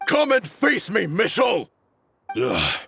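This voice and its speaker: An actor playing a proud, fanatical desert commander in a video game, with a grandiose, defiant and theatrical manner. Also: male voice, high voice, huge pitch swings, slow pace, gravelly, pushed and bright, slight background noise, exaggerated voice. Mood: rousing, defiant. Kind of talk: dramatic video game voice acting.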